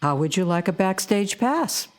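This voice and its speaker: An actress playing a brisk, assertive woman in audio drama dialogue. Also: mid-range voice, very animated, natural voice.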